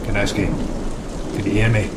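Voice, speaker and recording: A man's voice: fairly low pitch, flat delivery, moderate pace, lightly acted. A man playing a stern, resolute ship's captain, commanding and sober, in a gothic horror audio drama. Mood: grave, firm. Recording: rain and thunder noise.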